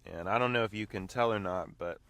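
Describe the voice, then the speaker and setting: A man hosting his own show, talking casually while driving, with a congested voice from a mild illness. He rambles and keeps clearing his throat. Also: on the low side, brisk, fairly bright tone, no room sound. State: congested, slightly sick voice. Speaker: vlog host. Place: inside a car.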